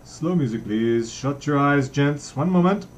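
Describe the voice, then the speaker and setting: A man's voice: fairly high pitch, expressive, a little slow, a little hiss or hum, pitched above the performer's own voice. A man reading a story aloud, voicing a brash, mocking, jovial young man in a theatrical, teasing way. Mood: mock preaching. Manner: declaiming, mock-solemn, teasing.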